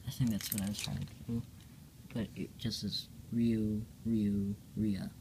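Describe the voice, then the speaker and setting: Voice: medium pitch, little pitch movement, measured pace, a little mellow, a little hiss or hum, poor audio. A person reading a comic aloud casually, with hesitant, self-correcting and apologetic asides, recorded on a handheld device at home. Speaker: storyteller reading own hand-drawn comic. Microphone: handheld phone or camera pointed at pages.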